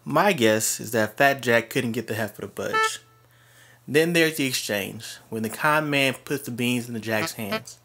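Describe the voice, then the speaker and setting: A man's voice: medium pitch, measured pace, sharp tone. A man narrating a scripted comedy story as host, in a sardonic, slangy and profane manner. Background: music.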